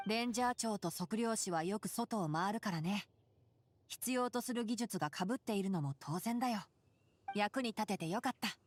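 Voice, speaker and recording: Woman voicing a brisk, composed young male ranger. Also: medium pitch, lightly acted. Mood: calm, thoughtful. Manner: composed, explanatory. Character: calm, thoughtful young male forest ranger. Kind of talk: Japanese anime-style game voice acting.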